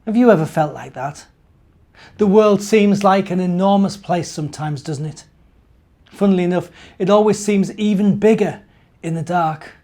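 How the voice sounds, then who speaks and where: Guy doing kids story time, soft mellow tone, talks right to the little ones. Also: sits high, moderate pace. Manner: asks the young listeners questions. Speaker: minister reading to children. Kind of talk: children's story time, narration and gentle talk.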